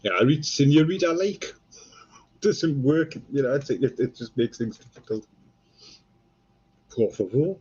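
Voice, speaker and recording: A man's voice: medium pitch, animated, unhurried, pauses often, darkish tone, slightly rough, highs cut off. Sweary Geordie man chatting on a live stream. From North East England. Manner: swears freely, rambling and jokey. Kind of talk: casual chat on a live stream.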